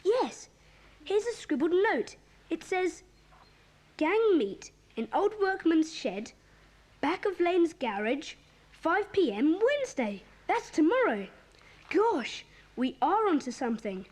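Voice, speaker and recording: A woman playing a bossy, excitable schoolboy club leader in a children's audio drama, with a commanding, eager manner. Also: very high voice, unhurried, heavily acted. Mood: excited, intrigued.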